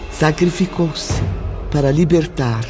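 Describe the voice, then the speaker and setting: A man's voice: fairly high pitch, takes it slowly. A man narrating a dramatic audiobook from a script. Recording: muffled audio with loud music.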